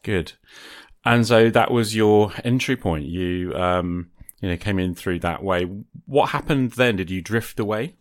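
A middle-aged man with a low voice hosts a relaxed, conversational interview. The sound is dry, with no room around him. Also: measured pace, no echo. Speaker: podcast host, interviewer.

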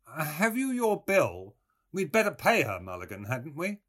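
Man playing a confident English gentleman, pitched up. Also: wildly swooping pitch, unhurried, heavily acted.

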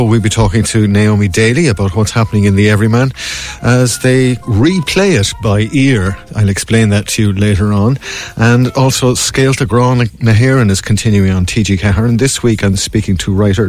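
A man's voice: fairly low pitch, a little mellow. A man with an Irish accent co-presenting a radio arts show in a conversational style on a studio microphone. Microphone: studio broadcast microphone. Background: music.

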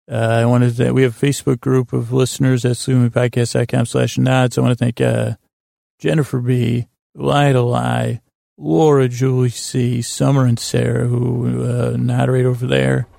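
A man hosting a podcast, rambling through a bedtime monologue in a meandering, halting, self-deprecating way with a gravelly voice. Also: medium pitch, flat delivery, warm tone, dry recording, clean studio sound. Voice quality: gravelly, creaky. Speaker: podcast host. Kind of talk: rambling bedtime monologue to help listeners sleep.

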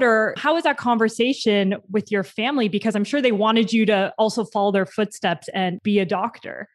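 Upbeat woman podcast host, higher voice. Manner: warmly enthusiastic, scripted intro then chatty questions. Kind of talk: podcast interview.

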